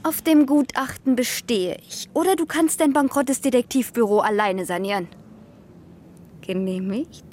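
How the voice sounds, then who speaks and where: An actress playing a sharp, insistent female private detective who probes and reasons, in a German radio crime drama. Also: a little high, moderate pace, close to an everyday voice. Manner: probing, insistent.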